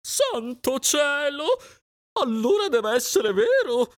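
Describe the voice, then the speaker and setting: A storyteller voicing a fussy grown-up female goose who reads poetry, speaking high and drawn-out with exclamations. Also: very animated, full character voice. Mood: alarmed.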